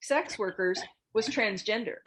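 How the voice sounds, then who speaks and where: A woman speaks as the moderator of a relaxed group discussion. Her voice is of average pitch, and she chimes in with short, encouraging remarks and questions. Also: a little slow, husky.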